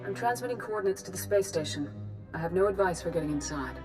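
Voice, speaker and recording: Solemn, low-voiced wise master played by a woman. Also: expressive, music in the background, close to an everyday voice. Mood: grave, composed. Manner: measured, solemn. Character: grave, wise female Jedi master leader. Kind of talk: video game dialogue.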